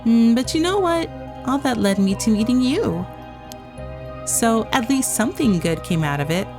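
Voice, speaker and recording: An emotional young woman from a horror visual novel, acted out by a streamer, with a raised, swooping voice. She is expressive and open, over clear music. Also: on the high side, normal speed, voice pushed up. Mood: bright, hopeful.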